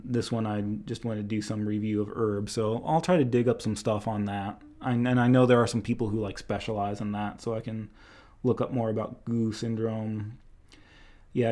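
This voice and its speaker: A man's voice: lowish voice, a little mellow. A young man teaching Chinese medicine in a casual live-stream review, rambling a bit with restarts, his voice tired after two hours of lecturing.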